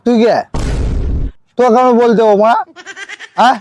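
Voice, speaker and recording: A man's voice: extremely high pitch, steady tone, moderate pace, darkish tone, slight background noise. A man playing a foul-mouthed, boastful drunkard in a comedy skit, belligerent and throwing out challenging questions. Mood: boastful, testy.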